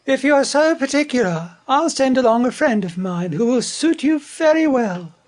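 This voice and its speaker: A man's voice: very high voice, animated, normal speed, pitched above the performer's own voice. A man voicing a peevish, spiteful female magpie in a storybook, tart and huffy.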